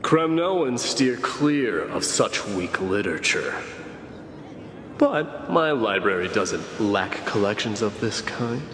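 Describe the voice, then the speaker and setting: An actor playing a proud, gruff crown prince in a video game, haughty, curt and formal in manner. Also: male voice, fairly low pitch, big pitch swings, takes it slowly, fairly bright tone, slight background noise, exaggerated voice. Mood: scornful. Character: proud, gruff warrior crown prince, disdainful but loyal. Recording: echoey reverberant hall, music behind.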